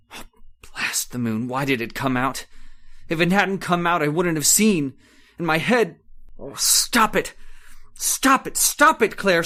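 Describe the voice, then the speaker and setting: An actor playing a terrified, guilt-ridden man losing his sanity in an old-time radio horror monologue, halting and repetitive in melodramatic delivery. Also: high-pitched, sharp tone, heavily acted. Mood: haunted dread, then panicked terror.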